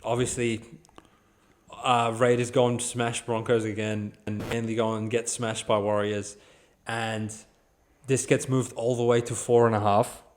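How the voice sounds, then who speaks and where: A man's voice: medium pitch, flat delivery, unhurried. An Australian man hosts a casual sports podcast in an ordinary mid-range voice. He talks in slangy, blunt banter and swears freely as he previews games.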